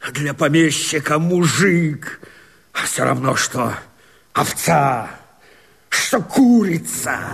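An actor playing a desperate, bitter escaped convict and rebel, declaiming verse in a hoarse, strained, theatrical way for a radio drama. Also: male voice, mid-range voice, fairly bright tone, heavily acted. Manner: impassioned, theatrical, drawn-out declamation with sudden outcries.

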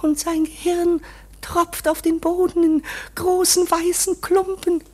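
Radio drama: embittered female court underling, high register, unhurried, theatrical, whispery at times. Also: flat delivery, a little mellow. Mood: feverish, vengeful. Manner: dramatic, slow, often dropping to a whisper. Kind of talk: German radio play acting.

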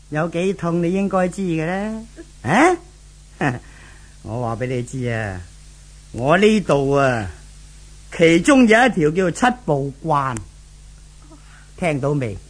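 A menacing brothel boss in a seventies Hong Kong Cantonese radio serial. The delivery is sneering and theatrical, swinging widely in pitch. Grunts and sharp questioning barks punctuate the bullying. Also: male voice. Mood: gloating threat. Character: cruel, menacing brothel boss who bullies captives. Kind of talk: 1970s radio drama acting.

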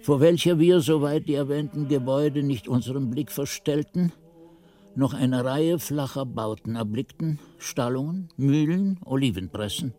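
A man playing a devout, learned monk who recalls his novice days in measured, reverent radio play narration. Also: medium pitch, mellow, lightly acted. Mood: reverent, descriptive. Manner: measured, reverent, ornate phrasing. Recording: music under the voice.

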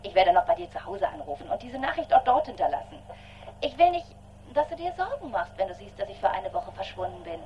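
An actress playing an impulsive, excitable woman leaving phone messages in a radio drama. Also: on the high side, fast talker, background noise. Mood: excited, apologetic. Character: impulsive, excitable woman confiding in her best friend.

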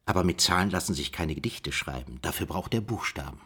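Male code-breaker, radio play, low husky voice, inquisitive, sceptical, thinking aloud. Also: expressive, brisk, bright, forceful tone, full character voice. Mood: sceptical, reasoning. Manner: questioning, reasoning aloud. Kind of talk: German radio play dialogue.

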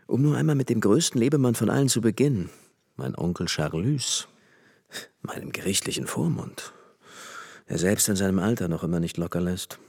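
An actor playing a flippant, worldly young French aristocrat and army officer in a radio play, chatting with easy familiarity. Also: male voice, lowish voice, lively intonation, moderate pace, gravelly, a little mellow, breathy edge, full character voice. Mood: amused, mocking. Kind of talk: German radio play dialogue. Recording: long hall reverb.

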